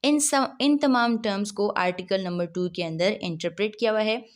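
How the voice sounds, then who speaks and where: Woman lecturing on law, question-and-answer style. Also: mid-range voice. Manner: asks herself questions and answers them, reading English legal text slowly. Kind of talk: recorded lecture.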